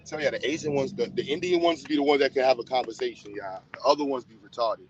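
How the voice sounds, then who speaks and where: A man's voice: mid-range voice, fast talker, slight background noise. A man hosting a casual livestream, blunt and teasing as he talks straight to his viewers. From United States. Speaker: livestream host. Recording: dull, lo-fi sound.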